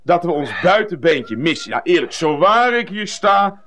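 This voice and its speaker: An actor in a radio comedy playing a hearty, overbearing gym-teacher buddy with a jovial, bossy way of talking. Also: male voice, a little high, very animated, moderate pace, slight background noise. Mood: chummy, teasing. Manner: jovial, bossy, loud exhortations. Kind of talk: comedic radio drama acting.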